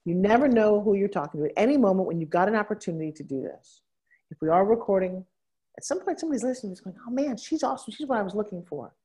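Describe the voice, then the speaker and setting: A woman business coach on stage at a live seminar, teasing and bossing a guest with quick interruptions. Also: medium pitch, lively intonation, warm tone. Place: event stage with audience.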